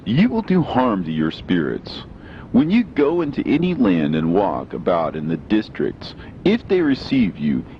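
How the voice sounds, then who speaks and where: Deep-voiced man solemnly reading scripture. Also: dull audio, slight background noise. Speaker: reader of religious scripture. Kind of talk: scripted audiobook narration of scripture.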